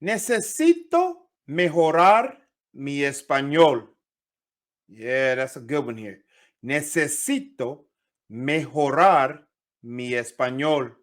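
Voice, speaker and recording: Animated man teaching phrases slowly. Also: fairly high pitch. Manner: animated, repeats phrases slowly, jumps between Spanish and English. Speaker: Spanish teacher. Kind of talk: lively language lesson, teaching phrases.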